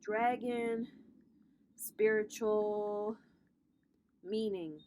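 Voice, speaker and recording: A woman's voice: medium pitch, steady tone, drawn-out words, darkish tone, slight background noise. A woman in her thirties doing a casual tarot reading vlog as a spiritual coach, with a sassy, playful way of talking that drops swear words. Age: 30s.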